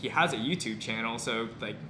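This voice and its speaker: A man's voice: mid-range voice, brisk. A young man, a medical student vlogger, hosting a casual interview and sounding eager and a little nervous. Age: young adult. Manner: eager, slightly nervous, uses filler words. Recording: echoey room with faint noise.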